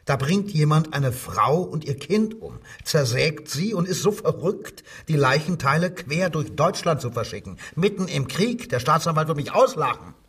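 A male actor playing a coldly insistent wartime German police detective in a radio crime drama, with a husky voice and a sardonic, interrogating manner. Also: a little high, sing-song, moderate pace, hardly any pauses, a bit bright, recorded from a distance, exaggerated voice. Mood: mocking, needling.

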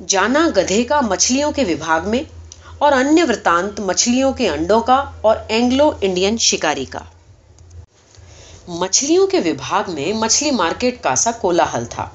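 A woman reading a short story aloud as expressive, scripted audiobook narration. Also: medium pitch. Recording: dull, muffled sound.

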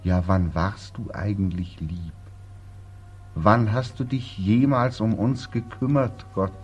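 An actor playing a bitter, exhausted, despairing young soldier home from war in a 1940s German radio drama, with a weary, brooding delivery. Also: male voice, fairly low pitch, slow, a little mellow, husky. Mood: bitter, accusing. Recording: muffled 1940s radio recording, faint music.

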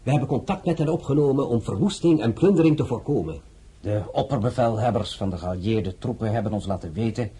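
A stiff, formal government envoy. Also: male voice, fairly low pitch, mellow, breathy edge.

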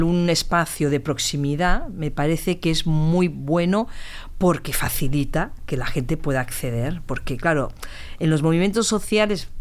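A man's voice: fairly high pitch, smooth. A man with an accent from Spain in casual discussion, often repeating words as he works out a thought. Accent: Spanish from Spain. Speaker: podcast participant and activist. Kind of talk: casual chat.

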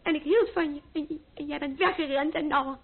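A woman's voice: high voice, a little fast, a little hiss or hum. An actress playing an emotional, reproachful young woman in love in an old radio play, stammering and pleading melodramatically. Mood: tearful, reproachful. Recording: narrow-band old radio recording.

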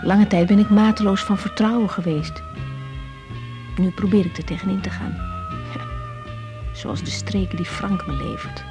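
A woman's voice: mid-range voice, fairly even intonation, moderate pace, pauses often, music in the background, lightly acted. An actress playing an intense, caring young woman doctor with a Netherlands Dutch accent in a radio drama, speaking in a confiding, insistent manner. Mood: introspective, weary. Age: young adult. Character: intense young female doctor, caring but exasperated daughter. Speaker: lead actress in radio serial. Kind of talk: 1980s radio drama, intimate monologue and dialogue.

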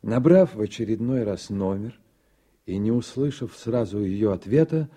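A man narrating a literary radio drama in a measured, even storytelling manner. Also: lowish voice. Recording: soft music under the voice. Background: music.

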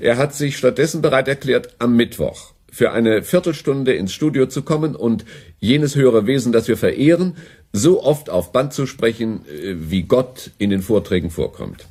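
An actor playing an authoritative broadcasting director in a radio drama, brisk and condescending. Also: male voice, medium pitch, lively intonation, darkish tone, slightly rough.

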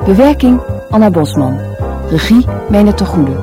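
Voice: medium pitch, very animated, moderate pace. An announcer reading out scripted opening credits for a radio drama serial. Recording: announcement over music.

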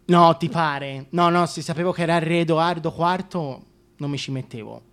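Soft, gossipy former royal mistress played by a man. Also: a little high, normal speed. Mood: wistful reminiscing. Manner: chatty, confiding, colloquial, hesitant. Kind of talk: solo radio theatre monologue.

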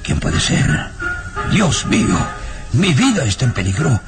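An actor playing a frightened, nervous professor in a melodramatic radionovela, with anguished, trembling exclamations. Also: male voice, mid-range voice, very animated, unhurried. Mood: fearful. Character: frightened, nervous professor horrified by dark secrets. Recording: old radio drama, very loud music.

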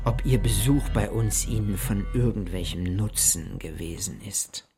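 A man's voice: fairly low pitch, unhurried, husky. A man reading an explorer's journal as scripted literary narration in a radio play. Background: loud music.

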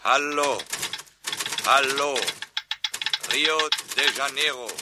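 Deep, slow telegraph operator. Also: female voice, steady tone. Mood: calling. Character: female telegraph operator calling a distant station. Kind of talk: scripted radio drama acting. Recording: clear clicking noise behind.

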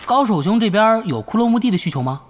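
An actor playing a polite, friendly young gamer teammate in an audio drama, speaking a little hesitantly. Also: male voice, high-pitched, sing-song, fast. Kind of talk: Chinese audio drama acting.

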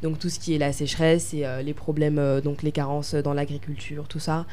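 A woman's voice: low-pitched, little pitch movement, fairly quick, warm tone. Hesitant young woman answering questions. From France. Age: young adult. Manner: hesitant, many fillers and restarts. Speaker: pageant winner, interviewee. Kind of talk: interview answers.

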